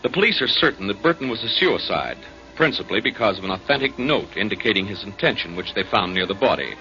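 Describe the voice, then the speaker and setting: A male announcer giving a formal, measured recap in a 1940s radio drama. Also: lowish voice, big pitch swings, sharp tone. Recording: dull old radio recording with faint music.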